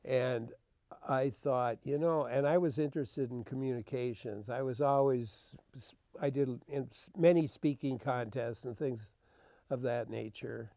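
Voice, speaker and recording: A man's voice: medium pitch, a little slow, dark tone, no echo. An older American man, a veteran radio host, reminisces in casual conversation. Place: radio studio.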